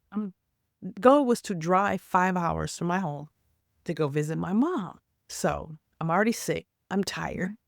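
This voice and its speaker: A woman with a raspy, hoarse voice from a cold, talking casually about faith and pushing through hard times. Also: lowish voice, no room sound, studio quality. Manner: rambling, stops and restarts, then earnest exhortation. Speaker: faith vlogger. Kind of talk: casual faith talk to camera.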